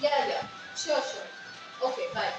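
A woman's voice: fairly high pitch, expressive, slow pace, lo-fi sound. A young Indian-accented woman playing a businesswoman, mother and boss in an amateur student play, recorded in an echoey room. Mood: businesslike. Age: young adult. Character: anxious, grateful businesswoman, mother and strict boss. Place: echoey rooms indoors. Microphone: phone camera at a distance. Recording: echoey room, distant phone, music behind.

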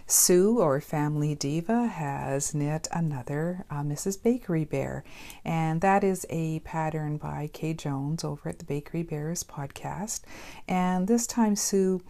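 A woman's voice: sits low, unhurried, mellow. A middle-aged Canadian woman hosting a craft podcast from her home studio, chatting casually with many ums and uhs. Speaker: podcast host.

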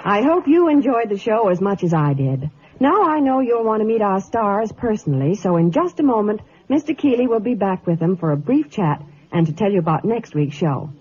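A woman presenting a gushing sponsor pitch in old-time radio style. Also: very animated, brisk, few pauses, a little mellow, slightly rough. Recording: dull old radio recording, music behind.

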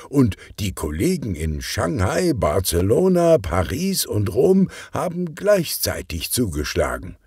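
A man doing a husky, boisterous police colleague. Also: lowish voice, darkish tone, no echo, clean studio sound, full character voice. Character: boisterous, jovial, patronising male police colleague.

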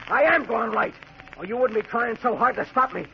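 An actor playing a selfish, bullying stranded trekker in a gruff, blustering 1940s radio drama style. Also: male voice, very high voice, expressive, moderate pace, exaggerated voice. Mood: defiant. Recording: muffled, noisy 1940s radio recording.

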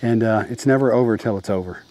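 A man's voice: lowish voice, quick pace, mellow. Casual middle-aged man talking outdoors. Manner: casual, rambling, many fillers and restarts. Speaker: landscape photographer. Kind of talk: outdoor vlog with photography tips. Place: outdoors by a lake at dusk.

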